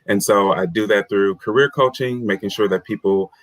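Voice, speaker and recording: A man from the United States, a guest author and career coach, answering interview questions in a measured, reflective way. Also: fairly low pitch, no room sound.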